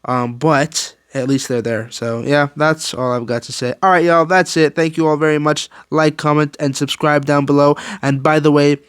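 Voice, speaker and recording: A man's voice: fairly high pitch, steady tone, moderate pace, few pauses, smooth, no echo, clean studio sound. A man giving a casual, opinionated rant as a gaming YouTuber, slangy, rambling and exasperated. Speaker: video game YouTuber.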